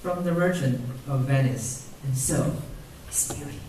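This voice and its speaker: An earnest male radio talker, acted by a man, with a medium-pitched voice. He is heard from a distance in a very reverberant hall. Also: lively intonation, measured pace, a little mellow, close to an everyday voice. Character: earnest male talk show guest quoting literature. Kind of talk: scripted radio drama acting. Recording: echoey hall from far, faint noise.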